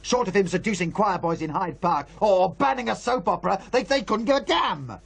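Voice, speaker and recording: A woman's voice: medium pitch, brisk, creaky, breathy edge. A British woman acting in a radio drama as a guarded, dismissive programme producer, often on the defensive. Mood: scornful.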